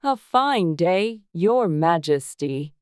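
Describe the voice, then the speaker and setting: A meek, moralizing storybook duchess , acted out by the narrator. Her weak voice is raised in pitch, smooth and slow. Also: lively intonation, no echo, clean studio sound. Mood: timid. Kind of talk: dramatized audiobook character voice.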